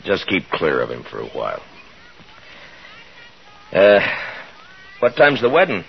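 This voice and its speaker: A man's voice: low-pitched, wildly swooping pitch, a little slow, gravelly, slight background noise, heavily acted, poor audio. An American man playing a stern but kindly frontier marshal in a 1950s radio Western, speaking with plain authority. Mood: friendly, calm. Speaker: lead actor and narrator. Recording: 1950s radio drama, music behind.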